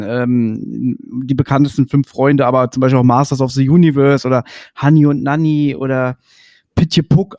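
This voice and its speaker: A man's voice: mid-range voice, a little mellow, dry recording, studio quality. A man hosting a podcast, asking chatty, detailed fan questions in a casual interview. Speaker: podcast host.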